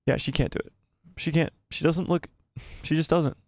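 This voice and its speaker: A man hosting a live stream, chatting casually and reading out viewer comments. His voice is going hoarse and he rambles and repeats himself as he thinks aloud. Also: on the high side, steady tone, normal speed, a little mellow, dry recording. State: losing his voice, coughing. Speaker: livestream host.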